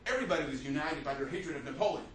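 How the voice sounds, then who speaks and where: A man's voice: fairly high pitch, expressive, very fast, fairly bright tone, slight background noise. A man giving an unscripted history lecture as a teacher, telling it like a story, with many fillers and restarts. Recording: echoey room, mic at a distance.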